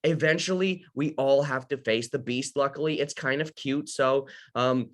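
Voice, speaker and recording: A man's voice: mid-range voice, moderate pace, fairly bright tone. A young man from Ukraine teaching a casual live lesson for beginner investors, talking straight to his audience. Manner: casual, talks to the audience, many fillers and restarts. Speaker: host of an investing workshop.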